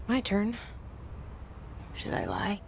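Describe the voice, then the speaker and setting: A woman playing a wary young woman's inner monologue in a video game, hushed and intimate, sometimes breathy. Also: medium pitch, huge pitch swings, slow, slight background noise, full character voice. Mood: wry.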